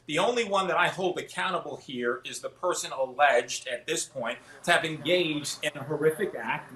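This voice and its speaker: Bright high-voiced man in a roomy space. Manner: rhetorical questions answered by himself. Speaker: defense attorney, TV panelist. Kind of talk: legal commentary. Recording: roomy, echoing room sound.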